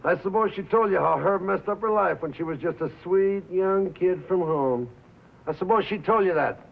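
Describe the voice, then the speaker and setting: A man's voice: high-pitched, moderate pace, vocal fry. A man playing a brash, defensive American bandleader in 1950s film drama style, loyal and slangy, with punchy wise-guy talk. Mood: scornful. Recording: muffled vintage film soundtrack.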